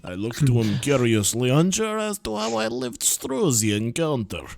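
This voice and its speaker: A young man doing a mock Russian accent as a brash Soviet-loving Russian, reading a visual novel aloud in a hammy, deadpan way. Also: mid-range voice, normal speed, gravelly, dry recording. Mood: scornful. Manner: theatrical, hammy, deadpan grumbling. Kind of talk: comic read-through with friends.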